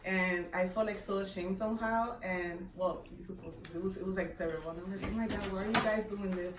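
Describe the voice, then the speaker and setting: A woman's voice: medium pitch, fairly even intonation, a little fast. A woman from the Dominican Republic with a Dominican Spanish accent, chatting at a book club, rambling with many fillers and frequent laughs. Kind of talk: casual book discussion. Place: bookshop event room. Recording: echoey room, distant mic, background noise.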